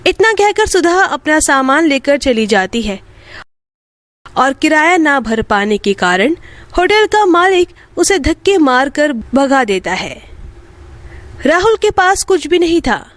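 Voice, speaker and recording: Slow, high-voiced woman storyteller. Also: sing-song, no echo. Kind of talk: scripted children's story narration.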